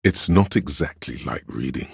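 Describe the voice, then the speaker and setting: A man playing a cerebral, pretentious inner voice of artistic imagination in a game, narrating theatrically and deliberately in a gravelly, husky voice. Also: a bass voice, lively intonation, no echo, studio quality, exaggerated voice. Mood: dry, literary. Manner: pompous, theatrical, deliberate diction. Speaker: game voice actor. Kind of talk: dramatic scripted game narration.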